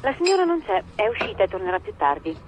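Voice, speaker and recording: An actress playing a guarded, formal housemaid in a radio drama. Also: female voice, medium pitch, big pitch swings, fast talker, highs cut off, a little hiss or hum, close to an everyday voice.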